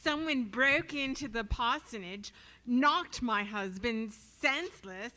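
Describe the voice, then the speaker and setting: A woman's voice: a little high, lively intonation, takes it slowly, bright, forceful tone, exaggerated voice. A woman playing an outraged, accusing parson's wife in a radio-style audio drama.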